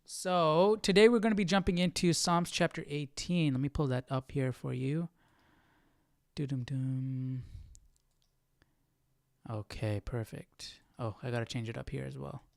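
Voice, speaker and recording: Clear-voiced casual man hosting a study stream. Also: medium pitch, no echo, clean studio sound. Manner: thinking aloud, halting, repeats phrases. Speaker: host of a Bible study live stream. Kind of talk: casual, informal teaching commentary.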